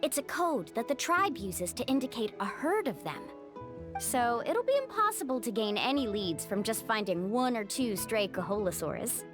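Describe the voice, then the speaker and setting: A woman's voice: on the high side, very animated, moderate pace, music in the background. A woman voicing a cheerful, confident young female guide in a video game, upbeat and encouraging. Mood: explaining. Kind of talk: animated video game dialogue.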